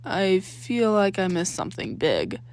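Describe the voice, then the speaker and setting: A woman's voice: medium pitch, a little slow. Woman as skeptical, wisecracking teenage boy. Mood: puzzled. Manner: flat, wary, disbelieving. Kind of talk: acted fan fiction dialogue.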